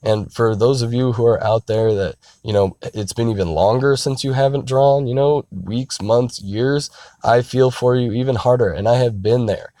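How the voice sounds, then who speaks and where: A man in his thirties, hoarse and raspy from being sick, talking candidly and rambling earnestly from inside his parked car. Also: medium pitch, normal speed, warm tone, no room sound. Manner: rambling, earnest, frequent restarts and "you know". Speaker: artist vlogger. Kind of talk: candid casual talk to camera.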